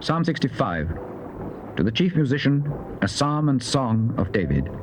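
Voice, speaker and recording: A formal male announcer reads out scripture headings. His intonation moves freely up and down, and clear noise sits behind his voice on the old tape. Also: medium pitch, big pitch swings, moderate pace. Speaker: announcer of psalm titles.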